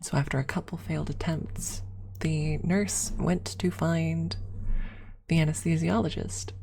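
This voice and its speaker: A woman speaking softly and often in a whisper, telling a personal story as an ASMR streamer, close to the microphone. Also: low-pitched, fairly even intonation, measured pace, gravelly. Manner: hushed, often slipping into whisper. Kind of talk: soft-spoken ASMR storytelling.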